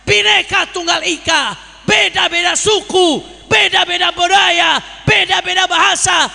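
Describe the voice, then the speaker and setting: A man's voice: extremely high pitch, steady tone, a little slow, gravelly, bright, forceful tone, raised voice, big-room sound. A man preaching a sermon to a congregation as an impassioned orator, using repetition and rhetorical questions. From Jakarta, Indonesia. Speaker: Islamic preacher.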